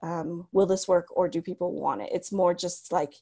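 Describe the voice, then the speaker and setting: Woman, thirties, interview guest, lowish voice, reflective, pauses and restarts. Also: steady tone, husky. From United States. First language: Cantonese. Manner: reflective, many restarts and ums, laughs softly. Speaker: guest, author. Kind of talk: candid interview storytelling.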